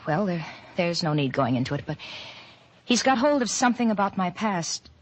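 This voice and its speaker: Refined, secretive wife in a vintage radio mystery; slightly low voice, hesitant and nervous. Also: female voice, big pitch swings, moderate pace. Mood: anxious, pleading. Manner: hesitant, breaks off and restarts nervously. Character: elegant married woman, anxious and secretive, seeking help. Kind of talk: old-time radio drama acting.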